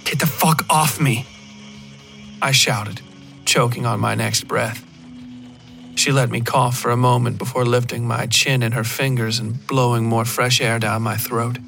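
A man giving a scripted first-person narration of dark horror fiction. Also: medium pitch, little pitch movement, normal speed, gravelly. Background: music.

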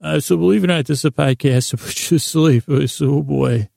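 Male podcast host, heavy vocal fry and relaxed tone, delivering a meandering, self-interrupting bedtime monologue. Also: a little high, dry recording, studio quality. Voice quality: gravelly, creaky. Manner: meandering, halting, self-interrupting, gently silly.

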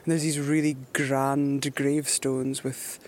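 A woman with a Scottish accent narrating a river journey outdoors in a reflective, unhurried way. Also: very deep voice, little pitch movement, a little mellow. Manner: musing, unhurried. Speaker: adventurer presenting a journey.

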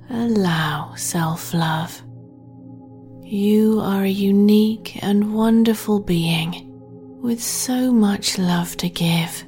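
Female sleep meditation host; breathy half-whisper, very unhurried, soft music bed. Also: mid-range voice. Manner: slow, soothing, gentle delivery.

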